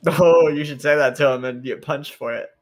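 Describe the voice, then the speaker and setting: A man's voice: on the high side, fairly even intonation, normal speed. A man playing in a tabletop roleplay, joking casually in slang and repeating phrases for emphasis.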